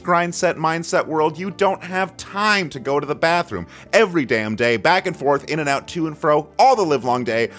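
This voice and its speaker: A man putting on a pushy infomercial announcer who pitches high and loud with big swings of pitch. He ends by racing through the fine print. Faint music sits behind him. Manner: hyped sales pitch, rushed fine-print disclaimer. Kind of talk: parody radio ad read.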